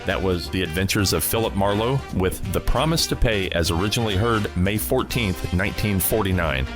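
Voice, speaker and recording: A man's voice: sits low, normal speed, over music. A man hosting a radio program with scripted, promotional narration. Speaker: podcast and radio program host.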